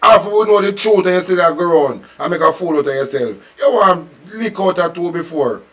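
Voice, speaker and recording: A man's voice: high-pitched, expressive, fairly quick, fairly bright tone. Furious Jamaican man ranting. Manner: furious, profane, taunting tirade. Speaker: reaction commentator. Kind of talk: ranting reaction commentary.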